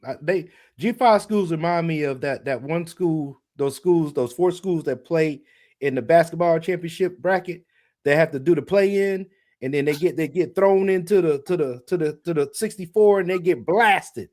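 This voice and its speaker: A man's voice: on the high side, normal speed, darkish tone. A man co-hosting a casual sports podcast, rambling with many fillers and restarts. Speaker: podcast co-host.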